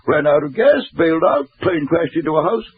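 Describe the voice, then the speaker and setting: A muddle-headed, jovial British officer in a 1940s radio play. His voice is very husky and swoops about in posh, stuttering fragments. The broadcast sound is thin and boxy. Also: a little high, big pitch swings, a little fast, heavily acted. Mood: befuddled, chatty. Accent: upper-class British. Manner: stammering, clipped fragments, absent-minded repetition. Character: befuddled, stammering upper-class British military major, jovial old-boy type. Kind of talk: 1940s radio drama acting. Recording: tinny vintage radio broadcast.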